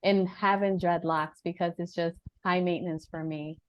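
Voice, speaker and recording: A woman's voice: lowish voice, steady tone, moderate pace, smooth, no room sound, lo-fi sound. A woman from Jamaica with an American accent, a panel guest giving a thoughtful personal account over a video call.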